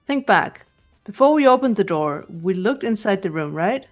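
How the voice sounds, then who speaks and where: A woman's voice: medium pitch, expressive, normal speed, faint music behind, close to an everyday voice. Casting: woman playing analytical schoolgirl sleuth for a mystery game, mid-range, matter-of-fact and cool. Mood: explaining. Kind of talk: amateur fan voice acting of a game script.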